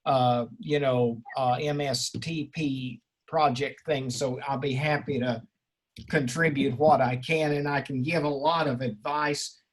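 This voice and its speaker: Husky, effusive male seminar instructor. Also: mid-range voice, steady tone, a little slow, low-quality recording. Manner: effusive, erudite, rambling, jokey. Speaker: instructor leading an online class session. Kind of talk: informal seminar discussion.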